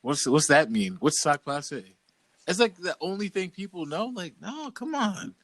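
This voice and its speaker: A man's voice: high voice, animated, a little slow, fairly bright tone, no room sound. A young man from Boston, co-hosting a podcast and telling stories in a loose, slangy, casual way. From Boston, USA. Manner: laid-back, loose, slangy storytelling. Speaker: podcast co-host. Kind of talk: casual chat.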